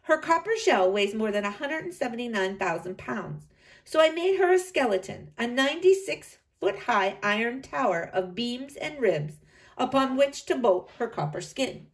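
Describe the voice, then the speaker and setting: A woman voicing a confident, inventive male engineer in a read-aloud storybook, in a calm, measured reading. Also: a little high, far from the mic, lightly acted.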